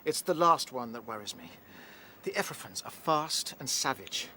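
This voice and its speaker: Bright-voiced British rabbit leader. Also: male voice, mid-range voice, very animated, moderate pace, slight background noise. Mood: worried. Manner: well-spoken, deliberating. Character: cautious, responsible male rabbit leader. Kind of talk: radio drama acting.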